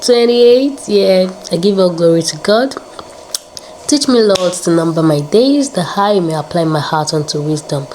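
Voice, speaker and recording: Woman in her 20s vlogging, slow and kinda low, upbeat and prayerful, faint room noise. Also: darkish tone, rough recording. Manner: upbeat, repeats words, prayerful asides. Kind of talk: casual faith-filled vlog talk.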